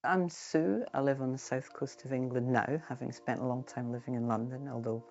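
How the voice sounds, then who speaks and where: A woman's voice: rumbling low, fairly even intonation, brisk, darkish tone. A woman from Edinburgh with a Scottish accent, candidly telling her own story as a former smoker in a reflective way. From Scotland, Edinburgh. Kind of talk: personal testimonial. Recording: muffled with faint background music. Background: faint music.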